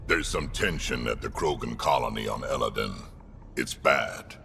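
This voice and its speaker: An actor playing a gruff, blunt old alien warrior in a video game cutscene, with a gravelly voice. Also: male voice, rumbling low, normal speed, noisy background. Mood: grave, urgent. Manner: terse, blunt.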